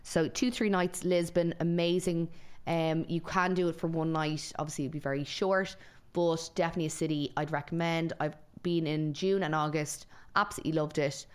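A woman's voice: low voice, fairly even intonation, moderate pace, few pauses, smooth. A young woman co-hosting a podcast, chatting and eagerly giving travel tips. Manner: chatty, gushing travel recommendations. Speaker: podcast co-host. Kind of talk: casual podcast chat.

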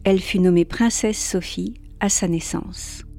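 A woman reading a calm, scripted narration for a sleep podcast. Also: on the low side, moderate pace, creaky, faint music behind.

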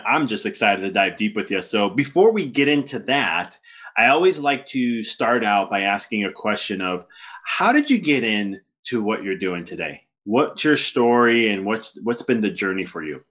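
A man's voice: fairly low pitch, a bit bright. A man hosting a podcast, earnest and upbeat, with occasional fillers as he introduces and asks questions. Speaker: podcast host.

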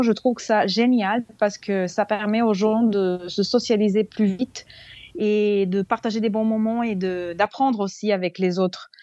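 Young woman, keeps hunting for words, mid pitch, muffled lo-fi sound. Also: dark tone, no room sound. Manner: hesitant, searching for words in a second language. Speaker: interviewee, former exchange student. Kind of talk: interview answers.

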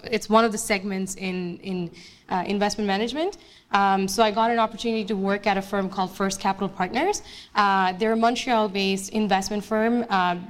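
Chatty young woman from Bangladesh. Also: mid-range voice. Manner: chatty, many fillers and restarts. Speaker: guest speaker, investment analyst. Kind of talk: casual onstage interview.

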